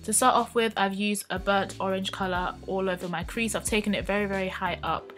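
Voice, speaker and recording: A woman talking through her makeup tutorial in a relaxed, chatty voice-over with a slightly croaky, just-woken-up sound. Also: medium pitch, a little fast, faint music behind. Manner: relaxed, chatty, with many ums and likes. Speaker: beauty vlogger.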